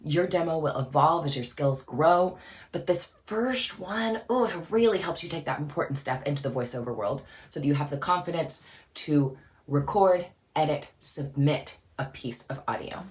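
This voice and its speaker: Low-voiced upbeat woman coaching voice-over. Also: normal speed. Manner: upbeat, chatty, lively emphasis. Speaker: voice-over coach and channel host. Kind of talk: casual instructional talk to camera.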